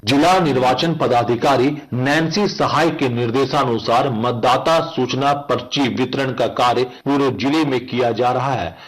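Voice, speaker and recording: Male correspondent, Indian accent, mid-pitched voice, formal and official scripted news report. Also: moderate pace, few pauses, rough recording.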